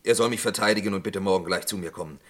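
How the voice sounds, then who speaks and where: An actor playing an accused lab worker in a vintage radio crime drama, formal, hesitant and protesting. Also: male voice, sits low, racing, lightly acted. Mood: indignant, shaken. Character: accused male lab worker, defensive and evasive. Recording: roomy old radio play recording.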